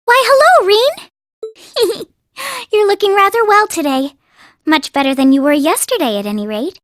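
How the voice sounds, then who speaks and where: An actress playing a sweet, refined teenage princess in an anime game dub, with genteel, formal phrasing. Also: female voice, extremely high pitch, animated, a little slow, a bit bright, no echo, clean studio sound, heavily acted. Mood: cheerful, playful. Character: sweet, poised teenage princess putting on a brave face.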